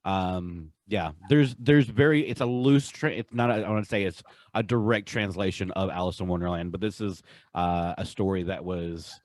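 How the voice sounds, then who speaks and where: A man co-hosting a podcast in casual, enthusiastic chat, with a halting way of talking. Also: low-pitched, no echo. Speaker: podcast co-host. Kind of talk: casual chat, countdown commentary.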